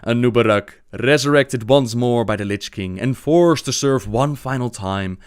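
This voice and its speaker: Casting: male narrator for fantasy game recaps, smooth clear voice, mid pitch, dramatic storyteller phrasing. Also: normal speed.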